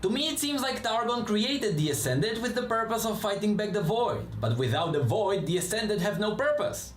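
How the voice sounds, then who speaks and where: A man's voice: sits high, big pitch swings, normal speed, soft background music. A man giving a dramatic scripted narration of fantasy lore.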